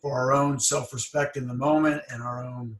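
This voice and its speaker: A man's voice: mid-range voice, steady tone, normal speed. Man who stutters, talking in a roomy space. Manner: stutters, with restarts and repeated words; thoughtful, encouraging. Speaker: podcast host. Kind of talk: conversational interview. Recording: roomy, a bit far from the mic.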